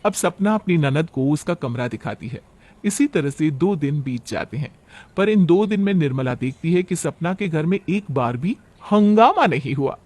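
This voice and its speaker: Male narrator for cartoon family tales, slightly high voice, scripted storytelling delivery. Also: lively intonation, normal speed, a little mellow.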